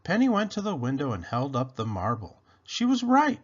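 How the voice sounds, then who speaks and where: A man reading a children's story aloud in an expressive, animated, deliberate way. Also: mid-range voice, breathy edge, highs cut off. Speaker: storybook read-aloud host.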